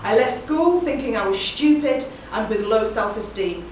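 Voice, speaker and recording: A woman, giving an earnest, measured spoken-word monologue. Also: on the high side, lively intonation, darkish tone, a little hiss or hum. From England. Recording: very echoey room, distant mic.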